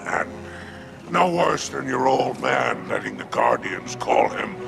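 A man playing a sly, self-important alien crime boss in a video game cutscene, gravelly, sardonic and oily. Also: mid-range voice, lively intonation, takes it slowly, exaggerated voice. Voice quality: gravelly, creaky. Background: music.

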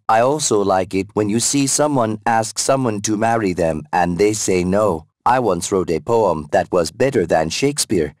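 Low, deadpan, megalomaniac AI. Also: male voice, no room sound, clean studio sound. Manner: measured, deadpan, naive yet pompous. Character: megalomaniac childlike artificial intelligence, calmly menacing. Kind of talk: scripted video game acting.

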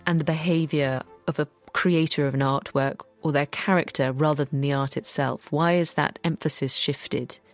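A woman's voice: low voice, fairly even intonation, normal speed, hardly any pauses, faint music behind. A woman presenting a radio documentary, narrating in a scripted, inquisitive way.

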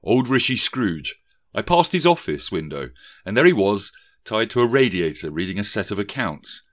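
Everyday working dad, plain and chatty, read by a man, bright tone. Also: fairly low pitch, big pitch swings, moderate pace. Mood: relaxed. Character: ordinary working man, a family father, matter-of-fact.

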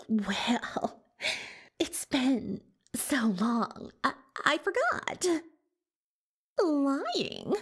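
Actress for a self-important unicorn pony magician, cartoon ASMR roleplay: very animated, unhurried delivery, haughty and easily defensive. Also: female voice, sits high, exaggerated voice. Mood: flustered, evasive. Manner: pompous, third-person bragging, hesitant when cornered. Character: boastful, defensive female unicorn pony magician turned librarian.

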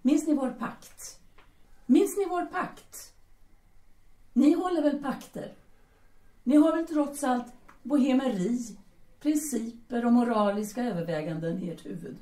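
A woman's voice: a little high, pauses often, dark tone, lightly acted. A woman playing a domineering, playful bohemian seductress in an audio drama, commanding, coaxing and teasing. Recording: echoey room, distant from mic.

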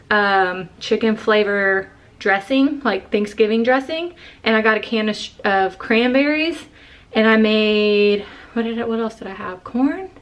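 A woman's voice: mid-range voice, a bit bright. Young woman, rambling home vlogger, close handheld camera in her kitchen. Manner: chatty, rambling, self-correcting. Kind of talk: casual chat to camera. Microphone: handheld camera close to face.

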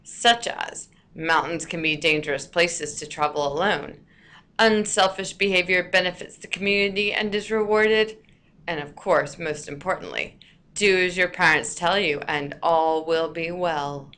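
Woman teacher with a lowish voice. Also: unhurried, pushed and bright. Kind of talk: scripted lesson narration for listeners.

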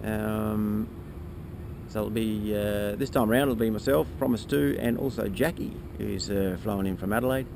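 A man in his fifties talks casually to a handheld camera as a sailing vlogger. His voice sits fairly low with a gravelly fry, and he is relaxed and halting. There is clear outdoor noise of wind and boat around him. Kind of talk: casual vlog update. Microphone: camera held at arm's length.

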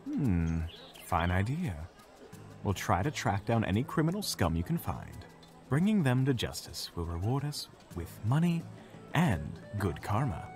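A suave, self-satisfied gentleman acted by a man speaks in a deep voice with sweeping intonation. He is pompous and smug, with music behind him in an audio roleplay. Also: sing-song, measured pace. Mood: self-righteous. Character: smug, self-styled charming gentleman schemer.

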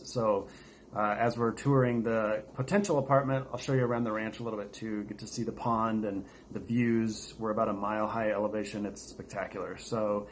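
An American man chatting casually to viewers as the host of a vlog. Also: on the low side. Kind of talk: casual chat to camera. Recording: steady noticeable background noise.

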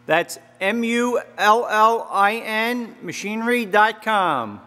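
A man's voice: high voice, expressive, slow pace, sharp tone, big-room sound. A man giving a plain, matter-of-fact demo of a machine in a large indoor machine shop, recorded on a handheld camera. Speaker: machinery dealer. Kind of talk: casual product demo.

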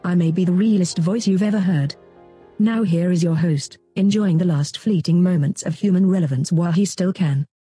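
A synthetic computer voice as a snarky, bossy chatbot sidekick, deadpan and scolding. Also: mid-range voice, moderate pace, slightly rough, no room sound, faint music behind. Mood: sardonic.

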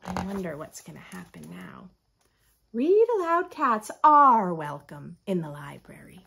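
American woman, thirties, children's read-aloud, very lively intonation, gravelly fry, teacherly asides. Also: lowish voice, unhurried, slight background noise. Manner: expressive, teacherly, addressing young children. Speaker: children's story host. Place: small furnished room at home.

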